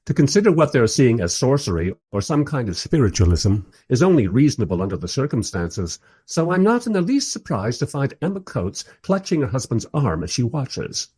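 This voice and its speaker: A man plays a wry observer narrating a radio play. His voice is husky and mid-pitched, moving in lively swoops through dry, literary storytelling. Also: moderate pace. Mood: wry, bemused. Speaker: first-person narrator.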